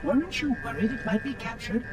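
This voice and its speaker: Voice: mid-range voice, sing-song, fairly quick, far from the mic, loud music behind the voice, natural voice. An actor voicing a fussy, prim ancient machine caretaker in a video game, speaking formally and theatrically. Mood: indignant. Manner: prissy, formal, marvelling, theatrical.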